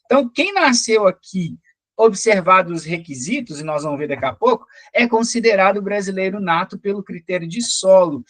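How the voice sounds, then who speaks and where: A man's voice: high-pitched, animated, a little fast, a bit bright, no room sound. Brazilian man teaching, with a dry, close sound. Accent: Brazilian, Minas Gerais. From Belo Horizonte, Brazil. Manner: didactic, frequent check-in questions. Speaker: teacher, police or military sergeant. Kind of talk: explanatory exam-prep lecture.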